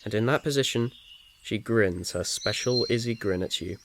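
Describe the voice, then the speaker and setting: A man's voice: on the low side, normal speed. A man reading scripted second-person story narration in an ASMR style. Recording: faint noise with birdsong behind.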